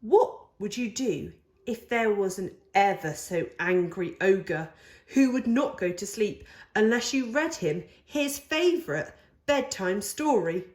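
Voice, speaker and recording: A woman's voice: mid-range voice, animated, takes it slowly, a little mellow, echoey room. A woman voicing a patient, persuasive young boy, the older brother in a storybook, coaxing and reasoning.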